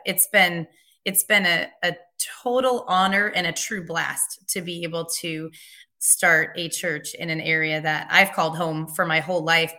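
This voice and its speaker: A woman's voice: lowish voice, bright, forceful tone. A middle-aged woman from New Hampshire, a physician assistant and church co-leader, chatting as a radio guest with casual fillers. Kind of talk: interview guest.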